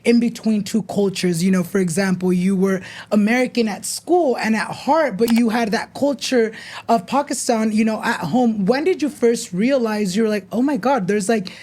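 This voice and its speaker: A woman's voice: on the high side, normal speed, darkish tone. A woman hosting a podcast, reading an ad and asking her guest encouraging questions. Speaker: podcast host. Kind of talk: casual interview and ad read.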